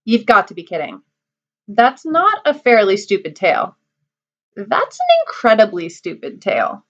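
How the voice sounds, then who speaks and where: A cheeky storybook trickster boy, played by a woman, bossing everyone around in a lively, swinging voice. He sounds smug and sarcastic, and the recording is dull and lo-fi. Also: mid-range voice, moderate pace. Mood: scornful. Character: cocky boastful young male trickster.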